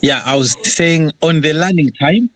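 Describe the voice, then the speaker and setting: A man's voice: on the high side, unhurried, dry recording. Dry-sounding man asking a question. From Malawi. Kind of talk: spoken question in online class.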